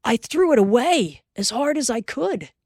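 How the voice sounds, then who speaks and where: Woman voicing a pioneer father, steady, teasing, earnest, slow. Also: dry recording, studio quality. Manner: low, plain, earnest.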